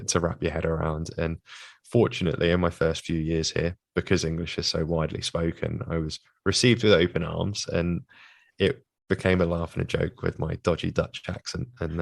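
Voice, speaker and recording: A young British man, a physiotherapist, answering interview questions in a measured way, with many fillers and restarts. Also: deep voice, gravelly, no echo. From United Kingdom. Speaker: guest, physiotherapist.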